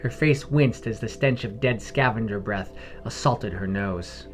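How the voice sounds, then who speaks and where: A man's voice: lowish voice, unhurried. Man narrating fantasy over music. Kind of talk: scripted fantasy story narration.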